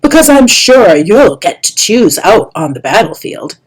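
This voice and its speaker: A harsh older she-dragon, as a woman narrator puts her on, snarling and bellowing at her pupil in a high, strained, much louder voice. She sounds bullying and scornful, her words drawn out and sharp. The voice is a touch husky. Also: very animated, measured pace, low-quality recording. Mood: mocking. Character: fierce older female red dragon teacher, harsh, bullying.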